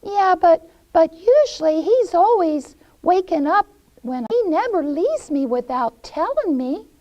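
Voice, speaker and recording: A woman's voice: very high-pitched, animated, a little slow, warm tone, pitched above the performer's own voice. A woman voicing an innocent, worried little sister in a storybook tale, with wide-eyed, pleading questions.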